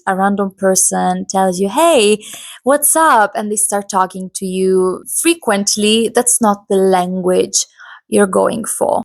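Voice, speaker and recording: A woman's voice: mid-range voice, gravelly, darkish tone, dry recording. A woman in her twenties, a native Italian and Polish speaker, hosting a casual vlog in a chatty, emphatic, personal way. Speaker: host. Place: home in Poland.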